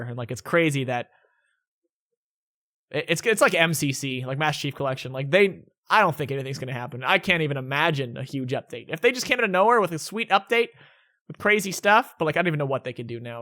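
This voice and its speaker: A man's voice: on the high side, pushed and bright. A young man answering viewer questions in a casual, rambling vlog at home, often restarting his sentences. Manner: rambling, self-correcting, laid-back. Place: indoors at home.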